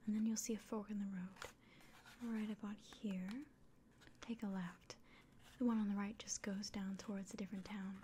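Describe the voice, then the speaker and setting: A woman playing a kindly, caring innkeeper in an ASMR fantasy roleplay, whispering gently and reassuringly. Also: medium pitch, little pitch movement, moderate pace, a little hiss or hum, close to an everyday voice. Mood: helpful, instructive.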